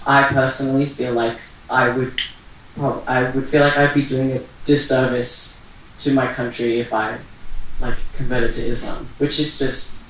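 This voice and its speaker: Heard in a very echoey room, away from the mic and with faint noise, a young man from Iran talks at a community event. He reflects casually and personally, in a halting way, often searching for the right words. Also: mid-range voice, flat delivery, a bit bright, recorded from a distance. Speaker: panel speaker.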